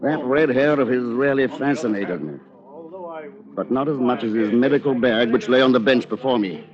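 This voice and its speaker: An actor playing a scheming, precise lawyer in an old radio drama, with coolly confiding delivery. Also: male voice, mid-range voice, moderate pace, over music, lightly acted. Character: scheming, coolly calculating male lawyer narrating his crime. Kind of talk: 1940s radio drama acting, first-person narration. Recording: dull, lo-fi old radio recording.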